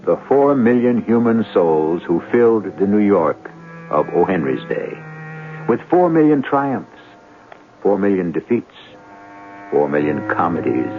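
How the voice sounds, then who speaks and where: A man hosting and narrating a radio drama in a measured, theatrical manner with weighty pauses. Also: sits low, creaky. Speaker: host and narrator. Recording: tinny band-limited vintage radio recording.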